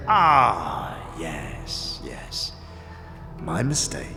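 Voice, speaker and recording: A sly, gravelly old crime boss, speaking slowly in a long reverberant hall. He is deliberate and mock-courteous, a figure from a fantasy audio drama. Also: low-pitched, huge pitch swings, bright, forceful tone, soft background music, full character voice. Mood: quiet menace.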